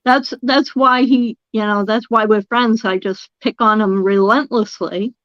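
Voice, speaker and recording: A woman's voice: on the high side, steady tone, measured pace, fairly bright tone, no echo, dull audio. A woman in her sixties with a Boston accent, teasing a friend in casual chat over a video call. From Massachusetts. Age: 60s. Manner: teasing, wisecracking.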